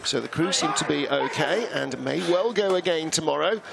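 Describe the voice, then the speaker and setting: A Welsh man calls the race in a high, gravelly voice, chatty and full of anecdotes. Loud outdoor track noise runs beneath his commentary. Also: normal speed. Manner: chatty, anecdotal, laughs easily. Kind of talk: live sports commentary.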